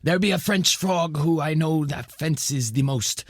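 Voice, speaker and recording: Sly old pirate captain, growly n husky, kinda high for a man, audio drama, dry sound. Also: unhurried, dry recording. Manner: piratical slang, "aye" and "arr". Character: shady old-salt pirate-talking sea captain, menacingly sly.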